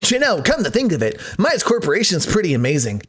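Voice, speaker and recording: A man's voice: high-pitched, wildly swooping pitch, fast, a bit bright, slightly rough, full character voice. A man voicing a cheerful, casual janitor in a visual novel, chatty and evasive in a breezy way. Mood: amused, evasive.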